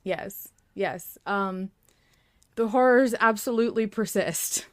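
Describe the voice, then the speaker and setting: A woman's voice: medium pitch, takes it slowly. A woman hosting a podcast in casual chat, with a playfully sarcastic manner.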